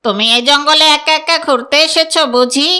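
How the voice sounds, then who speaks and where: Wicked cartoon fox, high and piercing, gloating, falsely friendly, cackling. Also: female voice, normal speed, pushed and bright. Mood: sly, coaxing. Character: sly, wicked predatory fox who tricks ducklings. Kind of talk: animated fairy-tale voice acting.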